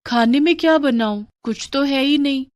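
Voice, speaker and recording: A woman's voice: high voice, moderate pace, a little mellow, pitched above the performer's own voice, lightly acted. A woman voicing an anxious, caring young daughter in a dramatized moral story, earnest in manner. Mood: concerned.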